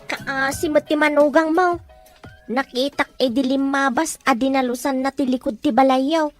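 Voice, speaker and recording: A woman's voice: high voice, fairly even intonation, normal speed, faint music behind, natural voice. A woman with an Ilocano accent playing a worried older mother in a radio drama, fretful and protective. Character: worried older mother fussing over her daughter. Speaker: visiting mother.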